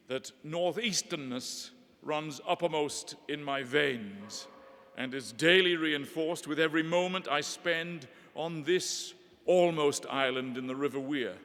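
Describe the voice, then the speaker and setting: Measured man speaking in a big hall. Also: on the high side. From Northeast England. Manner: measured, eloquent, gently humorous. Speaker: ceremony speaker. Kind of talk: formal ceremonial address. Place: large hall. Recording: long hall reverb.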